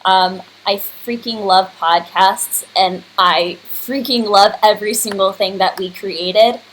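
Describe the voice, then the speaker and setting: A woman's voice: medium pitch, steady tone, slow, fairly bright tone. Effusive young woman, noisy livestream. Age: early 20s. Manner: effusive, informal. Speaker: host and curator. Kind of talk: casual unscripted livestream address. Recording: clearly noisy home computer livestream.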